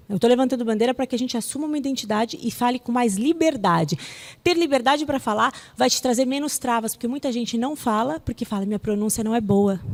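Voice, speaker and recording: A Brazilian woman with a fairly high voice gives a public talk in a reverberant hall. She speaks emphatically but conversationally, like a teacher answering questions, and keeps checking that listeners follow. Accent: Brazilian Portuguese. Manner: animated, conversational, emphatic, with frequent rhetorical questions and check-ins. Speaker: English teacher and translator giving a talk. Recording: echoey hall with long reverb.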